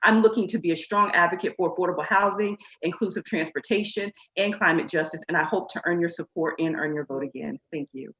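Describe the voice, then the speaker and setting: Woman with a low voice in a roomy, dull recording. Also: fast, hardly any pauses, fairly bright tone. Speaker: candidate. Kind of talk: debate introduction.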